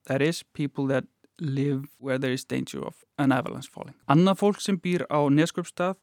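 A man's voice: medium pitch, fairly even intonation, normal speed, no room sound, studio quality. A man with an Icelandic accent reading and translating simple news, a little hesitant as he searches for words. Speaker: news writer and language-teaching guest.

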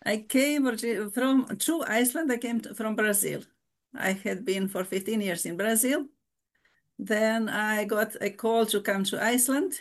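Woman, older, nun, halting, many restarts, frequent laughs. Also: fairly high pitch. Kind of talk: casual interview.

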